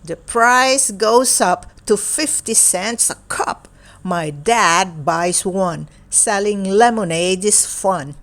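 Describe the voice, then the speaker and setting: A woman reading a picture book aloud for young children in a deliberate, playful way, in short separated phrases. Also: mid-range voice, fairly bright tone. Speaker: children's storybook reader.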